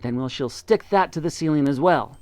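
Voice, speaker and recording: A reader voicing an excited, bossy father monkey who shouts urgent commands in a high, loud voice. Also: moderate pace, dry recording, natural voice.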